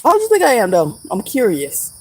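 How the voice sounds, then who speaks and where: A woman's voice: on the high side, wildly swooping pitch, a little fast, a little mellow, slightly rough, rough recording. Chatty woman in her thirties. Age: 30s. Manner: chatty, laughs often, teasing viewers. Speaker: vlogger. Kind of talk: casual livestream chat. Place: outdoors in the woods.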